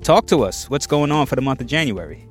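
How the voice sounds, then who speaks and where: Lively man hosting a chat show. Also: medium pitch, soft background music. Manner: playful, laughing, quick banter. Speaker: podcast host. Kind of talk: casual radio chat.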